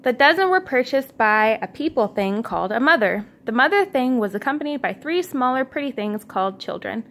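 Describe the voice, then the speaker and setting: A woman's voice: sits high, sing-song, fairly quick, few pauses, a bit bright. A mother reading a storybook aloud to her young child at home, in an encouraging way. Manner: encouraging, asks the child questions. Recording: home recording with faint background noise.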